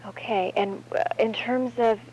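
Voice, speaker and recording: A woman's voice: on the high side, fairly even intonation, measured pace, a little mellow, slightly rough, muffled recording. A woman conducting an unscripted research interview.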